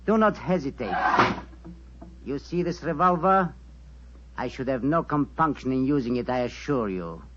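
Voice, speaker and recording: A man's voice: medium pitch, expressive, normal speed, long pauses, a bit bright. An actor playing a menacing, courteous male villain in 1940s radio drama, with formal, commanding speech. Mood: sharp threat. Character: menacing, coldly polite male villain with a revolver. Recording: muffled 1940s radio broadcast recording.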